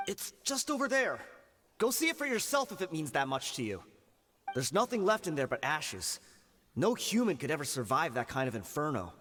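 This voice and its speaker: A shifty video game bandit grunt, high-voiced and swinging wildly up and down. He blusters and dodges as a cornered underling, in a long reverb with music beneath. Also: sing-song, moderate pace, fairly bright tone. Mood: nervous. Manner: blustering, evasive, stammering. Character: cornered, shifty rank-and-file bandit, sneering and pleading.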